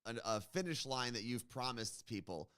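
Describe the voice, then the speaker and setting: A man's voice: medium pitch, animated, normal speed, a bit bright, clean tone. A man hosting a morning show, asking a guest long, curious questions in a casual chat. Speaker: radio show host. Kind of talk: interviewing a guest.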